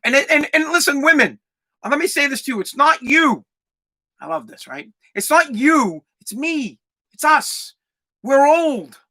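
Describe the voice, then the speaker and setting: A man in his forties hosting a comedic solo podcast, ranting in a profane, rambling way with lots of stammered restarts and bursts of shouting. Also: very high voice, very animated, measured pace, poor audio. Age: 40s. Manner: exaggerated, swings into loud shouting. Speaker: podcast host.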